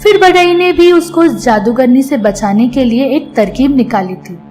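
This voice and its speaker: Female narrator for children's fairy tales: fairly high voice, measured scripted storytelling over light music. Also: mellow.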